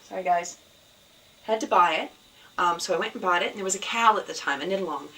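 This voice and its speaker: Hoarse woman host, scratchy voice. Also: fairly low pitch, fast. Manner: rambling, many ums, soft and sad in places. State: hoarse from a cold. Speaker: podcast host. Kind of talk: casual video podcast chat. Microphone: camera. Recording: somewhat distant mic, faint background noise.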